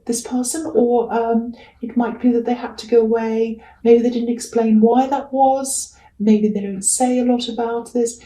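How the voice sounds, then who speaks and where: A woman's voice: on the high side, dark tone. A woman giving a casual, improvised tarot reading, hesitant and reassuring, with a slightly crackly voice. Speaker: tarot reader. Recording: roomy echo, distant from mic.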